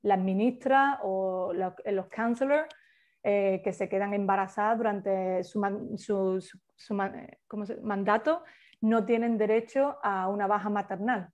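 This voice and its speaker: A woman's voice: mid-range voice, measured pace, a little mellow. A woman leading a workshop, explaining at length in an informal way, with many hesitations and restarts, over a video call. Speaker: workshop facilitator.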